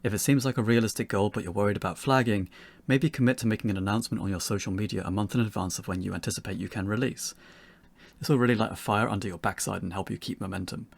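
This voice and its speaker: A man presenting a pre-recorded instructional talk as a game developer, in a measured, explanatory manner. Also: lowish voice, gravelly, no room sound.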